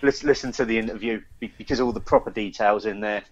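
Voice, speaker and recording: A man's voice: lowish voice, quick pace, muffled recording, slight background noise. A British man co-hosting a podcast, talking in a casual, chatty style about what is in front of him. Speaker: podcast co-host reading news. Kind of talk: casual beer podcast chat.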